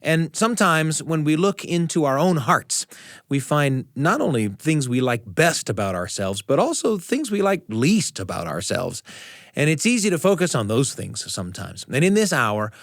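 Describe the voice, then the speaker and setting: Lively radio host, higher-pitched man. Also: normal speed, creaky, dry recording, clean studio sound. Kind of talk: scripted radio show introduction.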